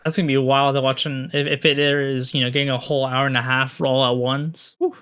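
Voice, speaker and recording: A man co-hosting a podcast in casual, slangy chat, talking in a halting, rambling way and often repeating himself. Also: medium pitch, flat delivery, a bit bright, smooth, dry recording, clean studio sound. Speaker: podcast co-host.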